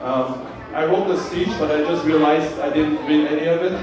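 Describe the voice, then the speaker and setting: A Vietnamese-born man in his fifties, whose first language is Vietnamese, gives a halting, filler-heavy birthday speech to guests at a party. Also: a little high. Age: 50s. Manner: halting, many fillers and restarts. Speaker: birthday host giving a speech. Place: large room at a party. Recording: echoey party room, mic far off. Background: crowd of guests.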